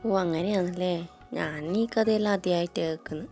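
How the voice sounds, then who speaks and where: Woman, warm dark-toned voice, casual vlog chat, faint background music. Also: lowish voice, moderate pace. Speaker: vlogger.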